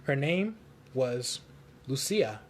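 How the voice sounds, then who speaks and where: A man reading a storybook aloud for children in simple, deliberate phrases. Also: mid-range voice, sing-song, a little hiss or hum.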